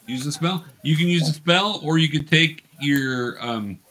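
A man's voice: a little high, a little slow, a bit bright, rough recording. A man acting as game master, patiently explaining rules and narrating a tabletop fantasy game.

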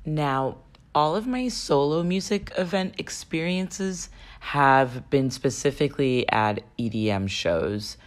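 Slightly raspy woman hosting a podcast. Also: low-pitched, animated, takes it slowly. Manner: chatty, poses questions to listeners. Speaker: podcast host. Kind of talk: solo podcast monologue.

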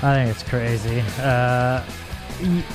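A man hosting a relaxed call-in radio show, his deep, bassy voice rambling through answers with plenty of hesitations. Faint music plays behind him. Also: slow pace. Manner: rambling, hesitant, laid-back. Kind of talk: casual radio chat.

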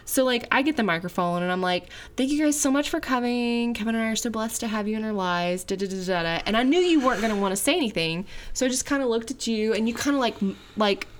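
A woman in her thirties co-hosting a podcast, chatting and teasing in a relaxed way with lots of "like". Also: a little high, fast talker, few pauses, a little hiss or hum. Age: 30s. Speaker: podcast co-host. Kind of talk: casual couple chat.

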